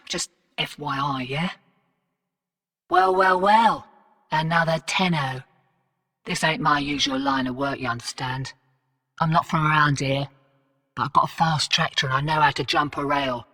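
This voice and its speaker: A woman's voice: deep voice, sharp tone, big-room sound, exaggerated voice. An actress voicing a cocky female rogue fortune hunter and arms dealer in a video game, cheeky, slangy and sardonic. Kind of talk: video game character voice lines.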